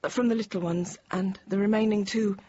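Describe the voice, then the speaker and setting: An actress playing a thirty-year-old Parisian teacher, recalling an ordeal in measured, halting, controlled radio drama narration. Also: female voice, medium pitch, fairly even intonation, warm tone, lightly acted. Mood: tense recollection. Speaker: lead actor and narrator. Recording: dull, noisy radio drama recording.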